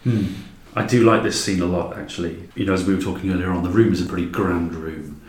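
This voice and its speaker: A man's voice: sits low, steady tone, quick pace. A man chatting casually as a podcast guest, enthusiastic and emphatic. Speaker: podcast guest, drama creator. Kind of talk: casual chat. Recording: echoey room with noticeable background ambience.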